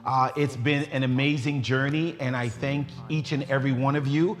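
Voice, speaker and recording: A man's voice: mid-range voice, fairly even intonation, moderate pace, in a large hall. A middle-aged man hosting a faith talk show, joking and laughing as he welcomes his guest. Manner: jovial, laughing, warm teasing of his guest.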